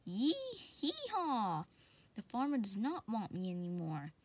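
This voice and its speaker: A woman's voice: medium pitch, big pitch swings, a little slow. A woman voicing a sad old male donkey in a storybook, plaintive and given to braying. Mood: dismayed. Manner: braying hee-haws, plaintive. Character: sad, big-eared old male donkey of a fairy tale.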